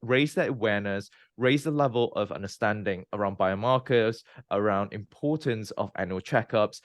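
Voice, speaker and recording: A man in his thirties from Malaysia, a scientist guest explaining his career in a measured way with frequent "um" and "you know". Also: fairly low pitch, no room sound, clean studio sound. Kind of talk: interview, recounting his career.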